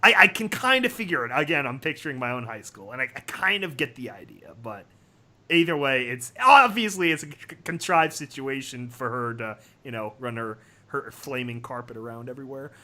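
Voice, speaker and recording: A man hosting a livestream, reading a comic story aloud in an animated, dramatic way with casual asides. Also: medium pitch, fairly quick. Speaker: livestream host.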